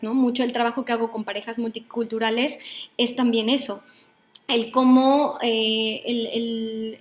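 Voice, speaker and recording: Mexican woman, workshop lecturer, thoughtful, fairly high voice, checks in with listeners as she goes. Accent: Mexican Spanish. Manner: thoughtful, frequent "¿no?" tags and hesitations.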